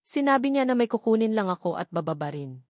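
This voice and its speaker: A woman's voice: fairly high pitch, very animated, fast, a little mellow, no echo, clean studio sound. A woman with a Filipino accent reading a first-person romance novel as scripted audiobook narration.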